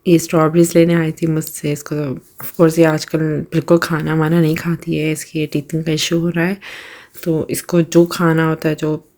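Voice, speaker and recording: A woman in her thirties with a Pakistani Urdu accent, narrating her daily routine in a casual voice-over while hoarse from a cold, with hesitations and throat clearing. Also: deep voice, completely flat delivery, normal speed, a little mellow. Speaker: vlogger.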